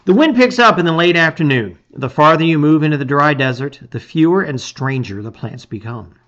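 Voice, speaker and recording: Animated man reading a storybook dramatically. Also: fairly high pitch, a little fast, highs cut off. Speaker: storybook reader. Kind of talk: dramatic reading of a fantasy adventure.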